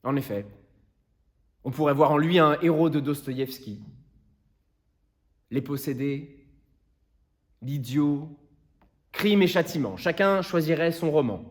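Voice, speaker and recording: A man playing an earnest, impassioned defence lawyer, delivering a solemn theatrical plea to a jury in deliberate rhetorical phrases. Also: on the high side, lightly acted. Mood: measured, persuasive. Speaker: actor performing a monologue. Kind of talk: theatrical courtroom closing speech.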